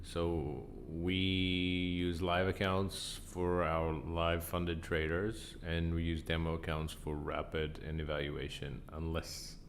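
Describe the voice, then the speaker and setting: A man hosting a casual live trading stream, croaky and tired, answering chat questions in a relaxed way. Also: slow, hardly any pauses, slight background noise. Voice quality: croaky, deep from sinus trouble. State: tired, up a long time. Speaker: livestream trading host.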